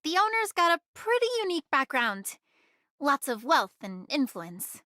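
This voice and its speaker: A woman voicing a bold, mischievous young girl in an anime video game, with a perky, expressive delivery. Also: sits high, moderate pace, no echo, studio quality, full character voice. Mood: serious, explaining.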